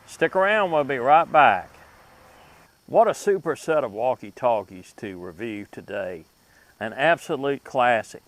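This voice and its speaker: A man hosting a vintage gear review channel, talking through a demonstration in an informal, deliberate and somewhat halting way. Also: mid-range voice.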